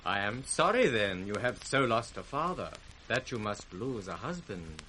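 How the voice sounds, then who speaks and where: An actor playing a stiff, courtly duke and reluctant suitor in a 1960s classical radio drama, formal. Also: male voice, on the low side, sing-song, normal speed, bright, forceful tone, slight background noise, low-quality recording. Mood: formal, regretful.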